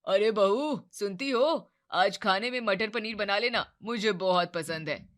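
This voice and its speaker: A woman puts on a booming, stern father-in-law in his middle years, scolding and demanding at a raised volume. The voice sits a little lower than an ordinary woman's and stays bright and forceful. It is a storybook telling. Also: expressive, unhurried.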